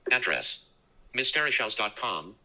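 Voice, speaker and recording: A man's voice: on the low side, very animated, measured pace, sharp tone. A synthetic man's voice reading out interface announcements as a screen reader, in clipped phrases.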